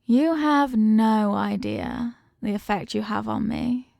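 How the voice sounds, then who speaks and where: A woman's voice: fairly high pitch, slow, creaky, a little mellow, dry recording, studio quality, exaggerated voice. A woman playing a confident, affectionate mafia boss in an intimate roleplay, teasing and streetwise, with slangy talk and pet names. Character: confident, doting female mafia boss, tough but affectionate. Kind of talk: intimate ASMR-style roleplay acting.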